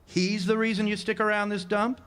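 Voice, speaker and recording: An actor playing a world-weary, sardonic ex-jailbird drifter in a radio drama, wry and laconic. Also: male voice, sits high, flat delivery, brisk, a bit bright, clean tone. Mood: skeptical, wry. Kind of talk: radio drama acting with first-person narration. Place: live amphitheater stage performance. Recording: live stage recording with music.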